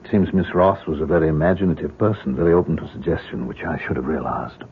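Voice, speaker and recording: A very deep-voiced American professor in an old radio play, courteous on the surface and quietly sinister beneath. He speaks in measured, polite phrases with a gravelly edge. The recording is muffled, with clear background noise. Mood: courteous, guarded. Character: smooth, courteous, secretly sinister male professor. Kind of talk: old-time radio drama acting.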